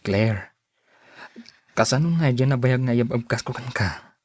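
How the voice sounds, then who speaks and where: A man's voice: mid-range voice, measured pace, a little mellow, dry recording, lightly acted. Lovesick male suitor played by a man, Ilocano accent, persistent and earnest, dry studio sound for radio drama. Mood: pleading, stubborn. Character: persistent, lovelorn male suitor who refuses to give up.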